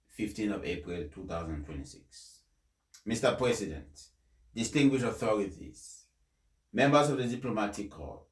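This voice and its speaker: A man who speaks as a presenter reading aloud to followers, in a deliberate, emphatic and formal way. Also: fairly low pitch. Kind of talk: formal read-aloud address with informal introduction. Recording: echoey, reverberant room.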